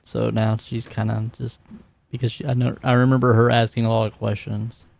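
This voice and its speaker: A man playing a tabletop roleplaying game, talking casually and describing his character's actions in a halting way. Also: medium pitch, little pitch movement, vocal fry, a little mellow, dry recording.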